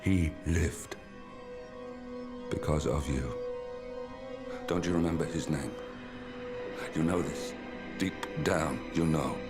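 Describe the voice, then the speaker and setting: An actor playing a grim, haunted rebel out for revenge in a video game, speaking with grave intensity. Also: male voice, rumbling low, slow pace, long pauses, over music. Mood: grim, urgent. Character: grim vengeful male rebel, haunted survivor of cruel institute. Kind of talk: dramatic video game cutscene acting.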